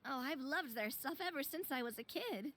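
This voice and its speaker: High, bubbly young game heroine. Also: female voice, animated, normal speed, bright, forceful tone, heavily acted. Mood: excited. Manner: theatrical, gushing, exclaiming. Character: bubbly, playful young woman, keen tabletop game player. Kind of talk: anime video game voice acting.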